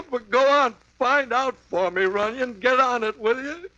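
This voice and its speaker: A rattled man who wavers between dazed mumbling and near-hysterical insistence. His voice is bright and sharp-edged. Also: very high voice, unhurried, no echo, highs cut off, full character voice. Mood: frantic. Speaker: client telling a story. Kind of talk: 1950s radio drama acting.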